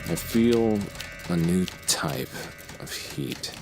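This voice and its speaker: An actor playing a brooding, grandiose serial strangler, speaking in deliberate theatrical monologue for an audio drama. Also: male voice, low voice, lively intonation, music in the background, heavily acted. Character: menacing, brooding male serial strangler, self-absorbed killer.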